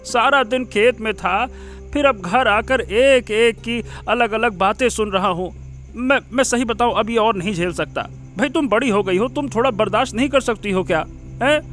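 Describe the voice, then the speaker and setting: A man voicing a weary, exasperated village farmer husband in an animated story, pleading in a melodramatic way. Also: high-pitched, moderate pace, faint music behind.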